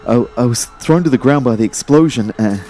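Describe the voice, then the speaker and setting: A man's voice: medium pitch, dark tone, soft background music, natural voice. A man acting a bewildered, stammering young man caught in a disaster in an audio drama. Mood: shaky, halting. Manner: halting, stammering.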